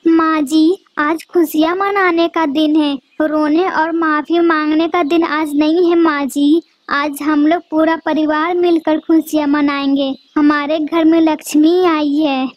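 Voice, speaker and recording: A gentle, anxious young mother bird in a cartoon, acted by a woman. Her voice is very high and pleading, with prayerful appeals. It is recorded close and dry. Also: flat delivery, a little slow, no room sound. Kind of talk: cartoon voice acting.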